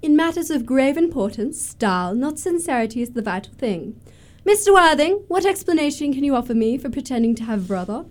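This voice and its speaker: Haughty young society lady , played by an actress, high voice, imperious and precise, period radio comedy. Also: lively intonation, a little fast, few pauses. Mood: stately, probing. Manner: precise, declamatory, pompous. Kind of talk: staged radio comedy of manners.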